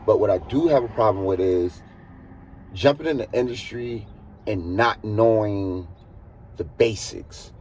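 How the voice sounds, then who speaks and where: A man with a husky voice, talking casually as a truck driver giving earnest, emphatic advice and repeating points for stress. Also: on the low side, unhurried, a little mellow, slight background noise. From United States. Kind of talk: casual advisory monologue.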